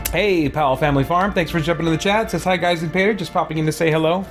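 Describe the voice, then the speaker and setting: A man's voice: a little high, fast, faint music behind. A man chatting through a live game stream, reading aloud and reacting as he plays. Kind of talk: live roleplay gaming commentary with chat shout-outs.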